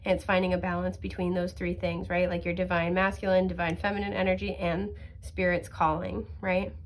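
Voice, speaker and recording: A sniffly woman reading tarot cards in a chatty, reassuring way, with many fillers and soft laughs. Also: on the low side, flat delivery, fairly quick. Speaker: tarot card reader. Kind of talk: casual pick-a-card tarot reading.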